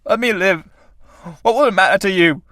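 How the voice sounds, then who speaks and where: A man playing a battered old male tramp who talks in rough, colloquial speech. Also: fairly high pitch, normal speed, dry recording, clean studio sound, close to an everyday voice. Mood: desperate. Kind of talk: radio play acting.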